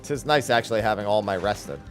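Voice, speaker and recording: A man's voice: fairly low pitch, fairly quick, soft background music. A man talking casually as he streams a video game, commenting on his play. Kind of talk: casual gaming stream chat, reading dialogue aloud.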